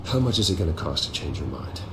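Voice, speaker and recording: An actor playing a grim, determined wealthy vigilante in a video game, speaking in a terse, guarded way. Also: male voice, a bass voice, big pitch swings, fast talker, background noise. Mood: tense, confrontational. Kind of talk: dramatic video game acting. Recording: roomy echo, music underneath. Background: game score music.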